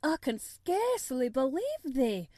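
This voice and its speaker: A woman's voice: high-pitched, big pitch swings, measured pace, warm tone, dry recording, studio quality, voice pushed up, full character voice. A woman voicing a fretful Yorkshire maidservant. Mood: incredulous. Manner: fretful, exclaiming broad dialect. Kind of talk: audiobook character voice.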